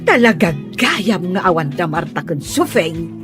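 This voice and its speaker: Casting: prying female gossip played by a woman, swooping mid-range voice, clear music underneath. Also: fairly low pitch, very animated, measured pace. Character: nosy gossiping female villager. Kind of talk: Ilocano comedy drama dialogue.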